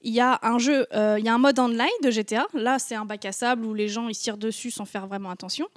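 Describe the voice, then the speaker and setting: A woman's voice: on the high side, fairly even intonation, fast, no room sound, clean studio sound. A young woman on a panel, talking in a frank and casual way about her gaming. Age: young adult. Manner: frank, casual, laughs at herself. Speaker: panel guest, gamer.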